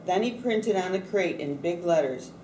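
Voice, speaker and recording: Low-voiced woman reading a storybook aloud. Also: expressive, normal speed, dark tone, distant mic. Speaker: storybook reader at a read-aloud event. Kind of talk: scripted picture-book read-aloud. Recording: roomy echo, faint background noise.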